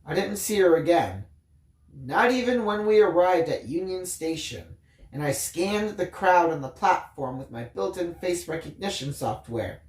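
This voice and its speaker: A woman's voice: lowish voice, animated, unhurried, darkish tone, echoey room. A woman reading a first-person audiobook as an earnest, bookish boy who secretly hunts aliens. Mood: reflective, wistful.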